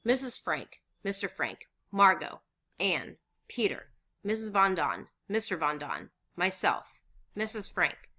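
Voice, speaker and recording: A woman's voice: mid-range voice, very animated, slow pace, bright, forceful tone, exaggerated voice. A woman reader voicing a fussy, anxious man who insistently counts things out in a wartime stage play. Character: fussy anxious man rationing food in hiding.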